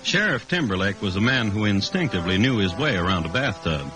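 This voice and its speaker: Droll, deliberate American crime narrator male actor, low-pitched, vintage radio style, clear music underneath. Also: lively intonation, lightly acted, low-quality recording. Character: dry, deadpan male crime connoisseur narrating murders. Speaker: host and narrator. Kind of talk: 1950s radio scripted narration.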